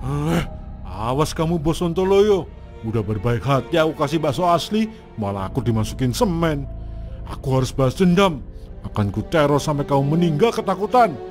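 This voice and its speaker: A man's voice: a little high, sing-song, moderate pace. A man voicing a vengeful meatball-seller ghost in a comic horror cartoon, groaning and exclaiming indignantly. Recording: music playing behind.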